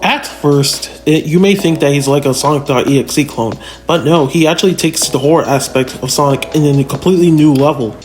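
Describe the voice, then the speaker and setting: Higher-pitched man commentating over loud music. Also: brisk, few pauses. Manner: conversational, addresses viewers directly with rhetorical questions. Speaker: video narrator, fan power-scaling reviewer. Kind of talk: informal analytical commentary over video. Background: background music.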